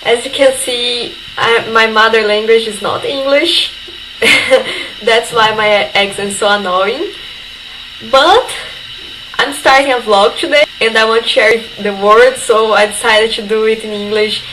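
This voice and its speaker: A woman's voice: on the high side, measured pace, sharp tone, a little hiss or hum, rough recording. A young woman, a non-native speaker, chatting apologetically in a casual vlog. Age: young adult.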